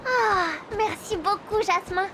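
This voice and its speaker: A woman's voice: extremely high pitch, slow, heavy background noise. A woman voicing a friendly female blue whale in a children's cartoon, cheerfully explaining things.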